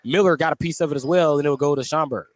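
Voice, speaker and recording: A man's voice: on the high side, fast talker, no room sound. A man doing live play-by-play commentary of a basketball game. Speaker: play-by-play announcer. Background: basketball gym ambience, bouncing balls.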